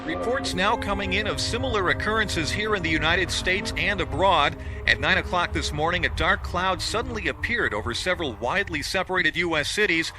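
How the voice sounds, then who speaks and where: A brisk news announcer. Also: male voice, sits high, expressive, music in the background, poor audio. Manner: brisk, formal bulletin delivery. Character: male radio news announcer. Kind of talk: broadcast news reading.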